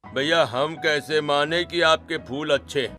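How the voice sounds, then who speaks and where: Slightly high-voiced polite middle-aged father acted by a man. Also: measured pace, sharp tone, faint music behind. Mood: questioning. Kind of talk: animated story dialogue.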